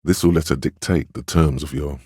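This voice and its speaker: An actor playing a stern, weary inner voice of willpower in a video game, speaking in a deliberate, grave, admonishing way with wry, protective authority. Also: male voice, a bass voice, steady tone, a little mellow, breathy edge, no room sound. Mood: stern, wary. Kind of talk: dramatic second-person game narration and inner-voice dialogue.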